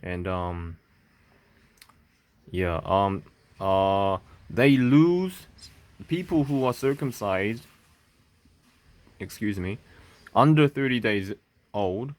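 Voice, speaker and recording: A man from South Korea speaking English with a Korean accent, talking to camera in a halting, stuttering way with many fillers and "okay?" checks. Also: on the low side, no echo. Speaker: vlogger. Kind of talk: unscripted monologue to camera.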